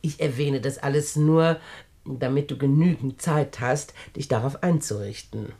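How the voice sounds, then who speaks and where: A woman's voice: very deep voice, measured pace, warm tone, exaggerated voice. An actress playing a rich, sly, domineering older woman in a German radio play, with an imperious, theatrical manner. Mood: brisk, imperious. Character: domineering, sly, rich old widowed aunt.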